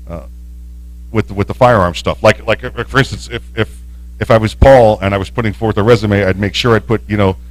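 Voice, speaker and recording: A man with a slightly low voice talks loosely on a live-stream panel. He rambles, trips over his words and restarts sentences often, in the easy way of a radio regular chatting with peers. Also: rough recording. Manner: rambling, with many restarts and fillers.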